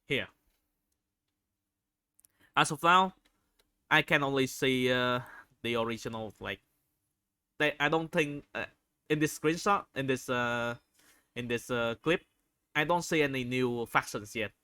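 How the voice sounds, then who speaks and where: A man giving unscripted video commentary, halting often as he searches for words and repeats phrases. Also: mid-range voice, bright, forceful tone, clear, resonant tone, no echo. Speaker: video host reviewing game screenshots.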